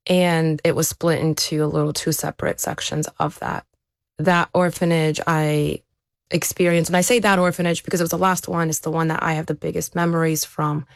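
A woman's voice: deep voice, little pitch movement, brisk, gravelly, no echo. A woman who is an athlete, giving a reflective personal account as an interview guest. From born in Ukraine, raised there to age seven, then America.

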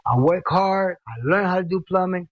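A man in his fifties from Cuba, telling his story in a plainspoken, halting way, leaning on "you know" and "man.". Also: sits high. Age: 50s. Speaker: interviewee. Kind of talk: recorded interview tape. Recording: muffled tape with music underneath.

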